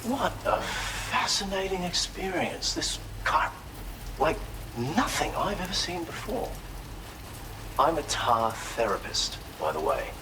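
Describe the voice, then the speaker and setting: A man's voice: on the high side, wildly swooping pitch, takes it slowly, background noise, heavily acted. An actor playing an eccentric, courteous male researcher and healer in a video game, speaking in a theatrical, formal, effusive way. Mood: fascinated. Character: eccentric, courteous male tar therapist, enthusiastic self-taught researcher. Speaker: non-player character in a video game. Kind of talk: expressive video game character dialogue.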